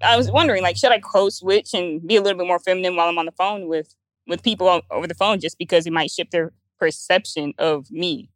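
Fast-talking young woman, low voice. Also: no echo. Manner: rapid, filler-heavy, quick restarts. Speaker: sales coach. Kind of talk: casual panel chat.

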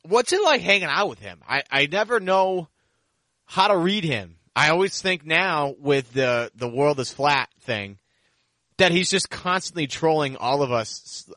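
A man's voice: mid-range voice, pushed and bright, no room sound. A man hosting a sports podcast in a conversational style.

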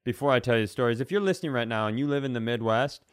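A man with a clear, smooth voice and a dry, close sound. He tells stories casually as a comedy podcast host, in animated bursts broken by pauses. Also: mid-range voice, no room sound. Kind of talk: casual comic storytelling.

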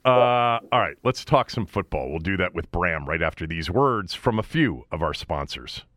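Animated male sports radio host. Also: low voice, moderate pace, no echo, clean studio sound. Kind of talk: casual sports talk and interviewing.

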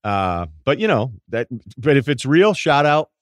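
A man with a deep voice hosting a podcast, telling rambling stories in casual chat and laughing at his own anecdotes. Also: very animated, measured pace, no echo, clean studio sound. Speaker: podcast host.

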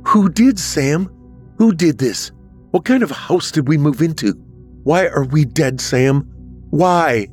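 A man voicing the ghost of an upset, excitable dead woman in a story. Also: mid-range voice, unhurried, soft background music, pitched above the performer's own voice, close to an everyday voice. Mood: angry, desperate.

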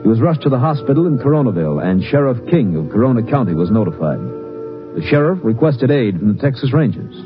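An American man giving measured, matter-of-fact scripted narration in 1950s radio drama style. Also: mid-range voice, sing-song, over music, close to an everyday voice. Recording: muffled old radio recording.

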